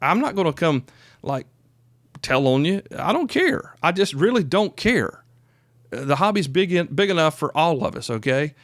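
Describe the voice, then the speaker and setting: A man's voice: on the high side, big pitch swings, moderate pace, no echo, clean studio sound. A man in his fifties with a Southern US accent, talking informally and plainly as a podcast host, folksy and emphatic. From South Carolina, United States. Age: 50s. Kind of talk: informal solo podcast talk.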